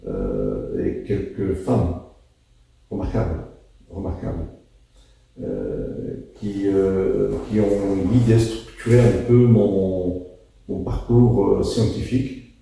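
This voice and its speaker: A man in his sixties from Morocco answers interview questions reflectively, in a halting, deliberate way, repeating words for emphasis. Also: sits low, creaky, dark tone. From Morocco, moved to France at thirteen. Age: 60s. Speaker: interview guest, physics teacher. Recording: very echoey room, distant mic.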